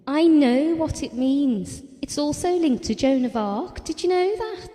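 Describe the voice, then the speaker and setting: A woman's voice: sits high, expressive, measured pace, dark tone. A woman acting a wistful, devoted wife of about forty in an audio drama, telling her story in a reflective, intimate way. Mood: wistful, gentle. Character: Ellen, wistful, devoted wife around forty, quietly wounded. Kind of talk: theatrical audio drama monologue and dialogue. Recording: long hall-like reverb.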